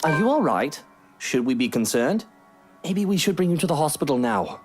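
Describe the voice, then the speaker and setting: An actor playing an irritable, sarcastic and protective husband in a visual novel game, with a theatrical, complaining manner. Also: male voice, on the high side, big pitch swings, normal speed. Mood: concerned. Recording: game music behind. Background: music.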